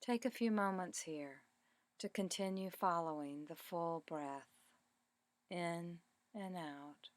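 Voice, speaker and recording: A woman speaks as a meditation teacher, very slowly and in a slightly low voice. Her phrasing is calm and deliberate, set apart by pauses. The sound is dry and close, without room echo. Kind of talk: guided meditation, body scan narration.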